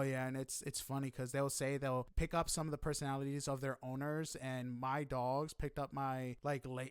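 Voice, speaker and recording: A man's voice: mid-range voice, clean tone, dry recording, studio quality. A man hosting a podcast interview in a casual, chatty way, effusive and informal in his reactions.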